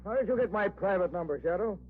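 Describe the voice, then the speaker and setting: An actor playing a gruff, exasperated police commissioner, sarcastic and grumbling, in 1940s radio style on a tinny recording. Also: male voice, sits high, lively intonation, fast talker, a little hiss or hum. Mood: grumpy, indignant.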